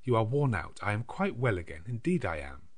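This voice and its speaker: A man voicing a frail, gentle young woman in an audiobook, earnest and sweetly trusting. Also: on the low side, very animated, brisk, breathy edge, voice pushed up. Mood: gently insistent. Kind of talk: dramatised audiobook character dialogue.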